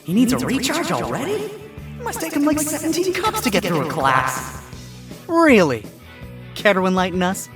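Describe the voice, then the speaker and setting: Man playing a lively, sarcastic fox lawyer. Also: sits high, moderate pace, faint music behind, pitched above the performer's own voice, heavily acted. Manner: sarcastic, wisecracking. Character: wry, sarcastic male fox defense attorney.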